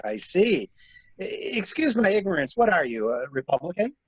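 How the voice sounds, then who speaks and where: A man plays a sharp, sardonic male traveler in a murder mystery. His voice is rough-edged and very animated in pitch as he probes and pushes like an amateur detective. The delivery is theatrical and the sound is close and dry. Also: moderate pace, dry recording, exaggerated voice. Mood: wry curiosity. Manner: probing, insistent, theatrical. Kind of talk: dramatic reading of a murder mystery.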